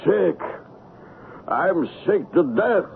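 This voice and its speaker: A man's voice: sits high, expressive, very slow, creaky, exaggerated voice. An actor playing a starving, feverish ivory trader in a 1970s radio drama, belligerent and anguished as he loses his mind in the jungle. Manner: raging, threatening, anguished. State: feverish, sick. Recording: muffled phone-like old radio broadcast.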